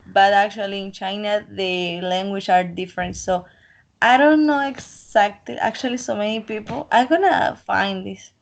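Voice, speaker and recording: A woman's voice: medium pitch, steady tone, normal speed, creaky. A woman from the Colombian coast, a native Spanish speaker, chatting in English with a Colombian accent, talkative and self-assured. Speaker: interviewee. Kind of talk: casual chat.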